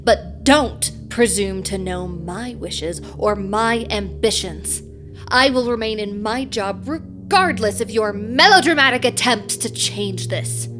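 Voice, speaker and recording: An actress in an audio drama playing a proud, exacting supervisor with precise, stiffly formal and sarcastic speech. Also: female voice, medium pitch, slow pace, fairly bright tone, soft background music. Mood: cold, wounded.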